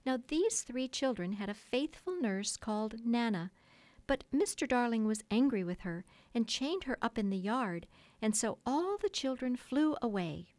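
A woman voicing a motherly little girl who tells a story earnestly and grandly, gently scolding and theatrical. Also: a little high, animated, unhurried, dry recording, pitched above the performer's own voice. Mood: earnest, storytelling.

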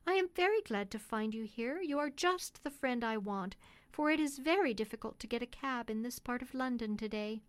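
Woman playing a high-voiced, gracious well-to-do lady. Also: animated, normal speed, natural voice. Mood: pleased, surprised. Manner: polite, assured, cordial.

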